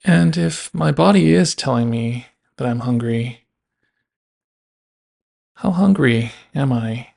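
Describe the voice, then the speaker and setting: A man guiding a body scan meditation in a measured way, leaving long pauses between phrases. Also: mid-range voice, expressive, vocal fry, darkish tone. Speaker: meditation guide.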